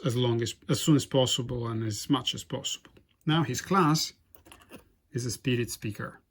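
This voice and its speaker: A man whose first language is Polish, hosting a board game playthrough in casual, halting commentary. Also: medium pitch. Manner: halting, frequent restarts and fillers. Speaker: board game channel host.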